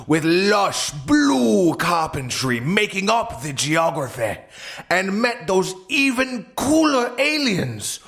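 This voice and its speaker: Male storyteller, comedic narration, exaggerated theatrical delivery, unhurried pace, very animated pitch. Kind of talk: comedic scripted narration of an absurd tale.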